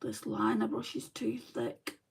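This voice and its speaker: Gravelly northern English woman chatting. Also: fairly high pitch, level tone, measured pace. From northern England. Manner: chatty, self-deprecating, mutters to herself while working. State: in back pain. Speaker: makeup livestream host. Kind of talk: casual makeup livestream chat, reading comments.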